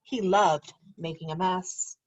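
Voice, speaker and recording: A woman teacher reading a picture book aloud to children, pausing to explain words and ask gentle questions. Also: fairly low pitch, steady tone, no echo.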